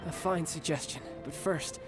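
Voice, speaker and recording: An actor playing a cocky, breezy young warrior and devoted big brother in a video game. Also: male voice, a little high, moderate pace, over loud music, lightly acted. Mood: friendly, composed. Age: young adult. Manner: breezy, self-assured.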